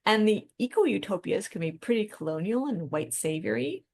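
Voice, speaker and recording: A woman's voice: medium pitch, very animated, moderate pace, creaky, mellow. A woman co-hosting a podcast, talking in a casual, informal and reflective way. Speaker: podcast co-host.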